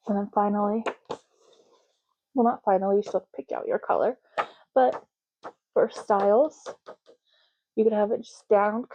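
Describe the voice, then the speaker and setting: A woman doing a soft-spoken ASMR roleplay, talking slowly and casually and often repeating words in a deliberate way. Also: medium pitch, highs cut off.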